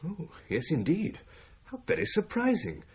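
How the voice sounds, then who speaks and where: A mild, prim accountant in an old radio drama. His voice is markedly husky and moves about a lot in pitch. The recording sounds slightly dull. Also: wildly swooping pitch, unhurried, exaggerated voice. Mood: astonished. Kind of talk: 1980s radio drama acting.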